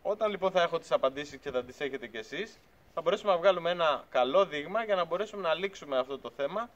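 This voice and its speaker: A man's voice: fairly high pitch, animated, lo-fi sound. A man in his thirties, a beekeeping channel host, talking earnestly and argumentatively to the camera outdoors beside a truck. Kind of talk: casual talk to camera. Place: outdoors by a pickup truck at a farm shed.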